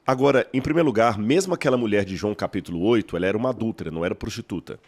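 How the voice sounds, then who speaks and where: A man from Minas Gerais with a mineiro accent, explaining as a guest in a measured, lecturing way and posing rhetorical questions. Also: on the low side. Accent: Mineiro Brazilian Portuguese. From Minas Gerais, Brazil. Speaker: guest scholar. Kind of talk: explanatory podcast interview.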